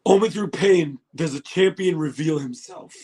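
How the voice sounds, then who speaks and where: A hoarse man hosting a casual livestream reaction, excitable and laughing, swearing now and then, recorded on a phone. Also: fairly high pitch, normal speed. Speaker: livestream host.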